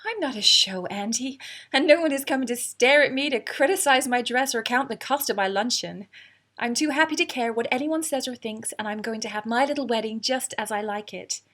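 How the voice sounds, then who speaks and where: A woman playing a happy, sweet, independent-minded young bride in an audio drama, warm and earnest. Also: fairly high pitch, a little fast. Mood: happy, determined.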